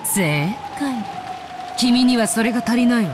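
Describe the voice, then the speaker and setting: A woman's voice: fairly high pitch, animated, fairly quick, noisy background, natural voice. An actress playing a haughty, sadistic demon woman in a Japanese visual novel, imperious and mocking in a theatrical way, with music behind her. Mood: smug lecturing. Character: haughty, sadistic female demon fighter, taunting and commanding.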